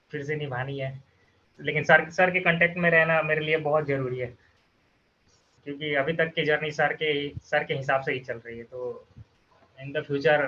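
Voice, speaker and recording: A young man from Bihar answers interview questions over a video call, speaking haltingly and starting over often. His voice is bright, tense and somewhat high, and the audio sounds dull and lo-fi. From Bihar, India. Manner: hesitant, halting, many fillers and restarts. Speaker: former student guest.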